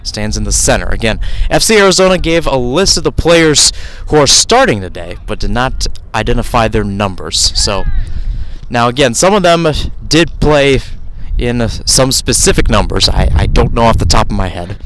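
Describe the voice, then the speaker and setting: A man's voice: mid-range voice, fairly bright tone, slight background noise, rough recording. A man calling a live soccer match as a radio play-by-play commentator, talking in a conversational, improvised way with asides and self-corrections.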